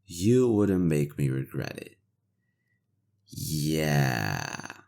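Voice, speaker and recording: Man acting a very deep, gravelly, weary interrogator knight. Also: sing-song, drawn-out words, a little mellow, exaggerated voice. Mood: guarded, conflicted. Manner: sardonic, world-weary, profane, conversational. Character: weary, cynical but conscientious male imperial knight interrogator. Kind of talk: roleplay audio drama monologue.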